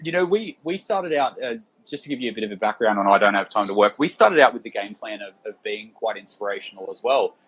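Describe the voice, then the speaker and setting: A man's voice: fairly low pitch, expressive, quick pace, hardly any pauses, fairly bright tone, slightly rough, rough recording. A man in his early thirties hosting a casual interview, laid-back and swearing freely, with lots of "like" and "man". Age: 30s. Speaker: host.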